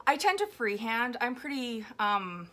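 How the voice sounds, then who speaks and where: Chatty, slightly hoarse woman crafting at home. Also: on the high side, sing-song, measured pace, bright, forceful tone. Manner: chatty, rambling, frequent ums. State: hoarse, raw throat. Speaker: collage artist. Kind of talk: casual commentary while making a collage. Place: home, indoors at a table. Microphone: overhead camera on a floor mount.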